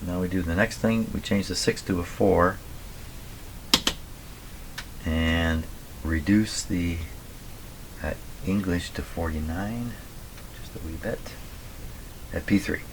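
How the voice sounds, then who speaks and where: A man with a slightly hoarse voice gives casual running commentary as he works, muttering to himself and reading things out deliberately. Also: deep voice. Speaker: radio repairer and video host. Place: radio repair workshop at a bench. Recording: clearly noisy workshop recording with clicks.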